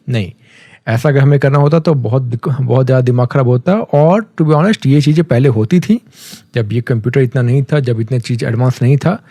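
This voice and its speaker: A man with an Indian accent giving a casual, conversational software tutorial, explaining step by step and mixing in English terms. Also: mid-range voice, dry recording. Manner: conversational, mixes English terms, often checks in with a tag phrase.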